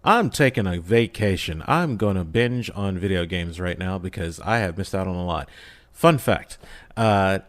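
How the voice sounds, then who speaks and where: A man's voice: low voice, expressive, normal speed, no room sound. A man chatting casually as a podcast guest and voice actor, playful and joking with theatrical asides. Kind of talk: casual chat.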